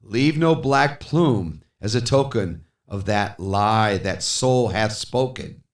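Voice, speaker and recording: A man's voice: on the low side, expressive, takes it slowly, pitched above the performer's own voice. A man playing a grief-stricken, haunted man in a gothic poem, theatrical and emphatic as he pleads and rages at a raven. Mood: shrieking rage. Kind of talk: dramatic gothic poetry recitation. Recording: echoey, reverberant voice.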